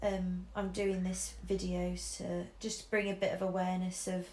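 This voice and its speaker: A woman's voice: lowish voice, flat delivery, normal speed, darkish tone, reverberant. A young woman from Shropshire with a mixed English Midlands accent, chatting casually with many ums while she draws at home. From Shropshire, England. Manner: chatty, many ums and restarts, laughs easily. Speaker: artist streamer. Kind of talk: casual chat while drawing. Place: living room at home, indoors. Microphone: camera over the shoulder.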